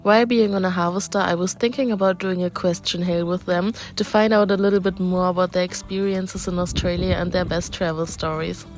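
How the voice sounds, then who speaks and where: A woman's voice: on the low side, fairly even intonation, a little fast, hardly any pauses. Young german woman, german accent, chatty vlog narration, faint music behind. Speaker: host interviewing housemates.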